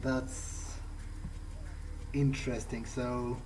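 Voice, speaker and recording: A man's voice: mid-range voice, flat delivery, very slow, darkish tone, a little hiss or hum, low-quality recording. A man hosting a let's play, speaking conversationally in character in a hesitant, musing way. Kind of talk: in-character gameplay roleplay, conversational. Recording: faint game music behind. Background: game soundtrack.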